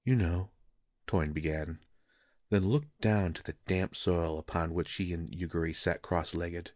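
A man's voice: low-pitched, fairly even intonation, measured pace, a little mellow, no echo, studio quality. A man reading a scripted fantasy story as a dramatic narrator.

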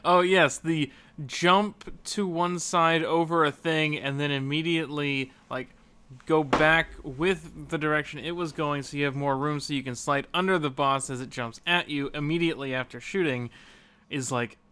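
Male podcast co-host, forceful bright voice a bit above average pitch, casual gaming talk. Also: fairly even intonation, moderate pace, clean tone. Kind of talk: casual video game discussion.

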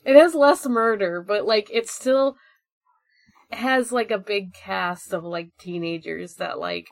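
A woman's voice: medium pitch, slow, gravelly, no room sound. A woman co-hosting a podcast with casual, rambling, sweary banter and a giggly way of talking. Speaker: podcast co-host.

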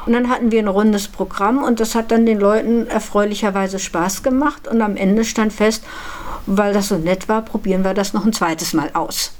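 A woman speaks as an event organiser being interviewed. She tells her story spontaneously, in a voice of medium pitch. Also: steady tone, moderate pace, darkish tone.

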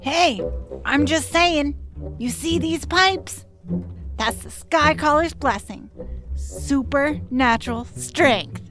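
An actor playing a cocky, reckless, excitable female elf fighter in an audio drama, brash and boastful. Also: sits high, takes it slowly. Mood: cocky taunting. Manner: brash, boastful, battle yells. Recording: loud music under the voice.

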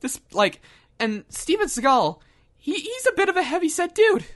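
A man in casual, joking podcast chat, telling stories with an animated, laughing delivery. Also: very high voice, moderate pace.

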